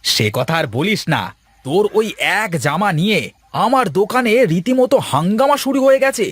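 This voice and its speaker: A man playing an excitable, friendly village clothes shopkeeper in a cartoon, jovial and full of exclamations. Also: high-pitched, normal speed, no echo. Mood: excited, businesslike. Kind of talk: Bengali moral-story cartoon voice acting.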